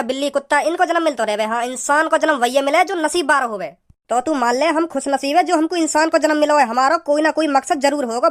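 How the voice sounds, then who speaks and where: A man playing a scolding, preachy village father in a comedy cartoon, with a pitched-up voice. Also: a bit bright. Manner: preachy, repetitive, hectoring.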